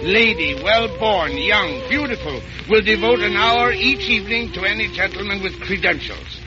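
An actor playing an irate, blustering older uncle in a 1930s radio comedy. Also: male voice, high-pitched, lively intonation, moderate pace. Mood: indignant, scolding. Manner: blustering, exasperated. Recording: dull vintage 1930s radio recording.

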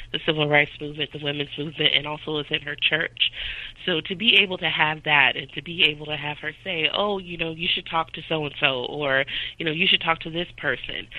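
A woman's voice: deep voice, dry recording, muffled recording. A woman from the Midwest of the United States, a historian being interviewed about her book, speaking thoughtfully with frequent ums and uhs. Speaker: author and historian guest. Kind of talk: interview answers.

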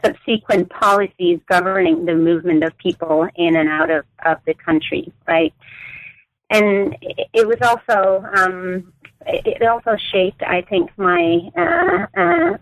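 A woman raised in Denmark talks through her academic background, pausing often and restarting her sentences. Her voice comes through a slightly muffled remote call. The sound is close and dry, with no room in it. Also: on the low side, creaky, no echo. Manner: thoughtful, many fillers and restarts. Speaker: guest author, anthropologist. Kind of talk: academic interview.

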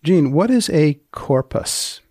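A man hosting a podcast, reading scripted lines and questions in a deliberate, dry manner with dramatic pauses. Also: fairly high pitch, animated, dark tone, no room sound. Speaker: podcast host, magazine editor.

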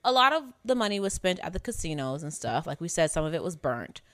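A woman's voice: on the low side, very animated, fast talker, a bit bright, dry recording. A woman in her late twenties co-hosting a true-crime podcast in casual, candid, slangy talk with some swearing. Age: late 20s. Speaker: podcast co-host.

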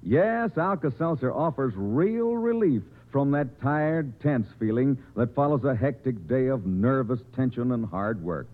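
A man's voice: medium pitch, animated, measured pace, hardly any pauses. A man reading a 1950s radio advertisement as a polished, emphatic salesman. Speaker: commercial announcer. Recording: muffled vintage 1950s radio recording.

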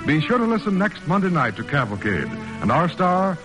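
A man's voice: on the high side, sing-song, normal speed, rough recording. A man delivering a scripted promotional announcement in 1940s radio style. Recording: old radio broadcast with music behind.

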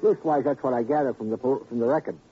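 An actor playing an eager, comical police sidekick in a radio drama, speaking in a pompous, mock-formal way. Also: male voice, mid-range voice, fast, husky. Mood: officious. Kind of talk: 1950s radio comic character acting. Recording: muffled old radio recording, faint noise.

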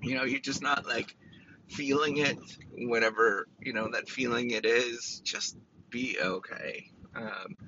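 A man with a raspy, tickly throat talks through casual advice, rambling and restarting often. His voice is gravelly with a bright edge. The recording sounds slightly dull, with a faint hiss behind it. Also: medium pitch. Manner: rambling, many restarts and fillers. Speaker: PhD student giving advice. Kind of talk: casual motivational talk to camera.

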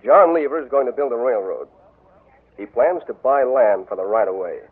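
An actor playing a sly, mocking gambler and blackmailer in a 1940s radio drama. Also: male voice, mid-range voice, lively intonation, moderate pace, slightly rough. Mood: scheming. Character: smooth scheming male gambler blackmailer. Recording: tinny old radio broadcast.

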